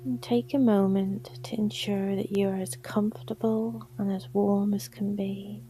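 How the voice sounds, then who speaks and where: A woman leads a restful guided meditation in a soft, breathy near-whisper. Her delivery is very slow and very even, gentle and soothing. Gongs and singing bowls hum faintly behind her voice. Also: mid-range voice. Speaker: yoga nidra guide.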